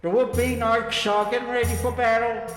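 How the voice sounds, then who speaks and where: A man playing an excited jousting commentator in a comedy audio drama. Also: extremely high pitch, moderate pace, reverberant, long reverb tail, faint music behind.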